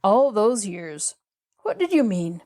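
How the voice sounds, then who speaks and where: A woman voicing a nervous, lonely middle-aged man who was once heartbroken, speaking haltingly. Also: mid-range voice, sing-song, dark tone.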